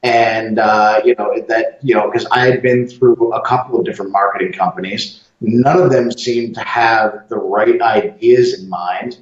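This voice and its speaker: Man, interview guest, relaxed, halting with ums, mid pitch, far from the mic, roomy office. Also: dull audio. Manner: relaxed, hesitant, many ums. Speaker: guest, business owner. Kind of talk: remote interview conversation. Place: his office.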